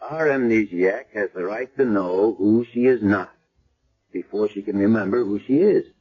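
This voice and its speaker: An actor playing a measured, thoughtful man doctor in a 1970s radio drama. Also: fairly low pitch, slightly rough. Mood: calm. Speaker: hospital doctor. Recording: dull lo-fi vintage radio recording.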